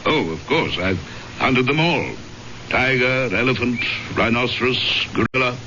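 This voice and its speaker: A man acting a big, courteous English gentleman adventurer with hidden menace in an old radio drama, suave and measured. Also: medium pitch. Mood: suave, ominous. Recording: muffled, noisy old radio broadcast.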